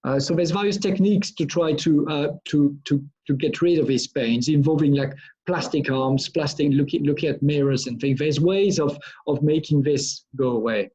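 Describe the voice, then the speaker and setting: A man's voice: fairly high pitch, moderate pace, warm tone, breathy edge. Middle-aged man from France explaining. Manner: explanatory, restarts and repeats phrases often. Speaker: brain engineer answering pupils' questions. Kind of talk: live online Q&A with schoolchildren. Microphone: video call. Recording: dull lo-fi video call audio.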